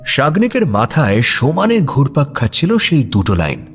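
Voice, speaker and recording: A man's voice: medium pitch, wildly swooping pitch, normal speed. A man narrating a scripted story in a dramatic storytelling style. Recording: music bed under voice. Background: background music.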